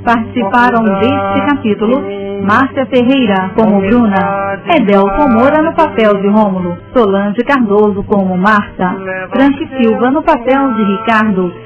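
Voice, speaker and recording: A woman's voice: a little high, a little slow. A woman giving scripted narration for a radio soap opera. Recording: narrow phone-like radio sound. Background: music.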